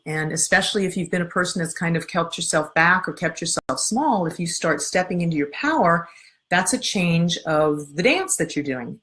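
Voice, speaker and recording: A middle-aged woman speaks with a strong voice that sits a little low. She leads a live talk, calm and earnest, walking listeners through her steps one at a time. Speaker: coach and workshop leader. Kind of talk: live-streamed talk answering viewer comments.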